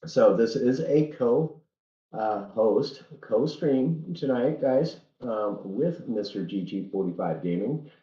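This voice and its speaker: A man's voice: medium pitch, lively intonation, warm tone, roomy sound, dull audio. A man hosting a racing livestream in a folksy, earnest way, speaking while under the weather with a head cold. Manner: folksy and earnest, halting at times. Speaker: livestream host. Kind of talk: casual livestream commentary.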